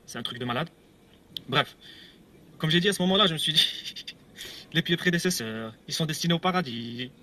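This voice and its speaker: A man's voice: fairly high pitch, expressive, normal speed, pauses often, pushed and bright. A man telling his own story on video, rambling and hesitant, with repeats and nervous laughs. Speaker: ex-believer giving a testimony video. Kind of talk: casual video testimony.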